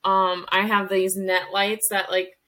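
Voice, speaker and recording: A woman's voice: medium pitch, flat delivery, a little slow, sharp tone. A woman in her early thirties co-hosting a podcast, chatting in an upbeat, talkative way. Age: 30s. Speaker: podcast co-host. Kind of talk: casual chat.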